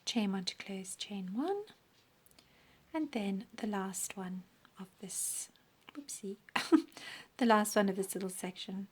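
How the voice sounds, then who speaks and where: A woman teaching a crochet pattern step by step, counting stitches aloud, her voice croaky and often dropping into a breathy half-whisper. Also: mid-range voice, takes it slowly. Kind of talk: step-by-step craft tutorial.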